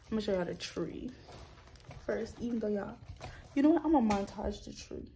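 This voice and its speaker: A young woman talks straight to her camera in a small room at home, vlogging in a loose, chatty way. She wanders off track and corrects herself often. Her pitch sits in the middle, and steady background noise runs under the recording. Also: fairly quick. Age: young adult. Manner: rambling, self-correcting, chatty with viewers. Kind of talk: casual chat to camera.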